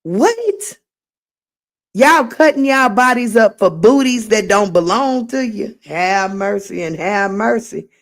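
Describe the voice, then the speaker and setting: Woman, middle-aged, livestream host, slightly raspy, sassy drawl, unhurried. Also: mid-range voice, animated. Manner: sassy, drawling, reads chat aloud. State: voice getting raspy. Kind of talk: casual late-night livestream commentary.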